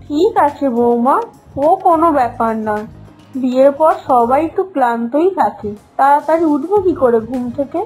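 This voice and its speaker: A woman voicing a kind, doting mother-in-law in an animated fairy tale, gentle and reassuring. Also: high-pitched, normal speed, soft background music, lightly acted, rough recording. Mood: indulgent.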